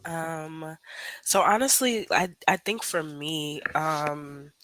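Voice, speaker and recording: A woman's voice: low-pitched, slow, fairly bright tone, slight background noise. A woman in her twenties, a podcast guest, speaking reflectively in a casual interview, hedging often with fillers as she thinks aloud. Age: 20s.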